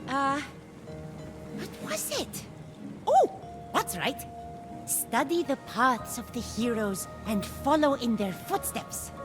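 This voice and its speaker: An actress playing a scatterbrained, blunt and excitable goddess mentor in a video game, halting as she goes. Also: sits high, full character voice. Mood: flustered. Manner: halting, flighty, childlike enthusiasm. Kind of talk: video game cutscene voice acting. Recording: loud music behind the voice. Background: music.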